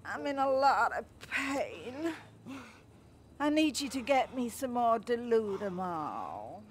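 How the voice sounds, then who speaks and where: A woman's voice: fairly high pitch, sing-song, slow pace, a little hiss or hum. An actress playing a bitter, manipulative old mother in a video game cutscene, scolding and self-pitying. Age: older.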